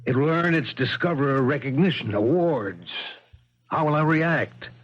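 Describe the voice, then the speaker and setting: An actor playing a modest, worried male scientist in a 1970s radio drama, with a husky voice and a hesitant, fretful manner. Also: on the high side, expressive, normal speed. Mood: fearful, doubting. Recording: dull vintage radio broadcast sound.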